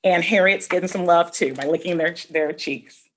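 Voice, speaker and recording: A woman reading a picture book aloud to children, explaining things simply with asides and questions in a slightly husky voice. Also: fairly low pitch, moderate pace. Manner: expressive, explaining simply and addressing young listeners with questions. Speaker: read-aloud host for children.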